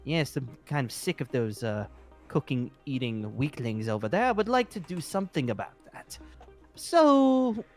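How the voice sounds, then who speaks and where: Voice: medium pitch, very animated, normal speed. A person playing a courteous, scheming fantasy guild leader in an improvised roleplay, speaking hesitantly and repeating praise. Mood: smug, appraising. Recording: music underneath.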